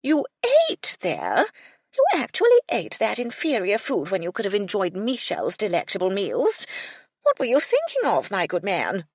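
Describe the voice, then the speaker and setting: A woman's voice: medium pitch, huge pitch swings, moderate pace, a little mellow, no echo, studio quality, voice pushed up. A woman voicing an eccentric elderly dowager who fusses over imaginary pet birds, in a haughty, fluttery and plaintive way. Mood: aghast.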